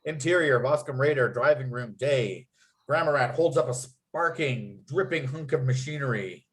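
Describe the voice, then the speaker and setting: A man's voice: medium pitch, moderate pace. A man reading out screenplay scene headings and action as the narrator of a script table read. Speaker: narrator and writer.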